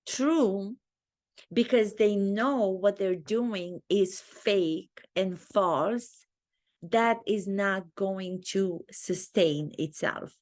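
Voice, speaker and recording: A woman with a Romanian accent, an acting teacher thinking aloud and commenting to camera in her own video. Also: medium pitch. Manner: thinks aloud, earnest and reflective. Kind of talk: talking-head commentary video.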